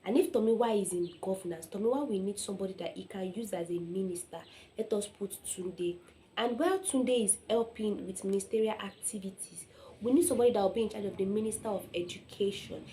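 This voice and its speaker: A woman's voice: mid-range voice, a little fast, warm tone, slight background noise, poor audio. A young Nigerian woman speaking as an informal motivational speaker, telling personal stories emphatically, acting out quotes and often checking in with listeners.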